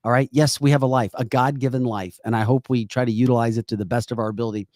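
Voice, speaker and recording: A man's voice: medium pitch, fairly even intonation, quick pace, darkish tone, dry recording, clean studio sound. A man co-hosting a political talk podcast, speaking bluntly and emphatically and repeating points for effect. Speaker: podcast co-host. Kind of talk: political talk show chat.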